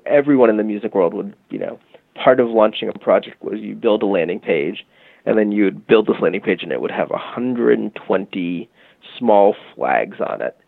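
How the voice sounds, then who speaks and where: Gravelly man on a tinny line. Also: on the low side, lively intonation, normal speed, dry recording. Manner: rambling, many fillers and "you know". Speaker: guest, tech company executive. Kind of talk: casual storytelling interview. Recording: dry phone-like line.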